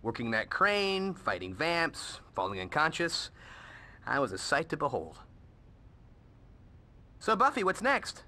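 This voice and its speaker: A very bright, goofy teen boy. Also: on the high side, huge pitch swings, a little slow, pauses often, full character voice. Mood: boastful, joking. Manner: jokey, theatrical self-praise. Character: goofy, boastful teenage boy sidekick. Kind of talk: video game voice acting.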